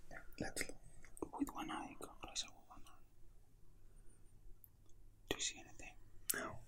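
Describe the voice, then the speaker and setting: Male, breathy whisperer for unscripted ASMR role play, hushed and puzzled, repeats himself in short phrases. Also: moderate pace.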